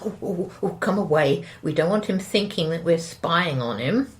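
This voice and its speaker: An actress playing a nosy, placating middle-aged suburban wife in an audio drama, with a British accent and a coaxing, gently chiding manner. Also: female voice, fairly low pitch, expressive, normal speed, close to an everyday voice. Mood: anxious placating.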